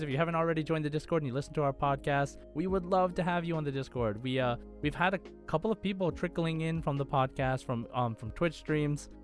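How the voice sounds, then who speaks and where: A man hosting a podcast in a casual, chatty style with an upbeat, enthusiastic manner. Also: fairly high pitch. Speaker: podcast host.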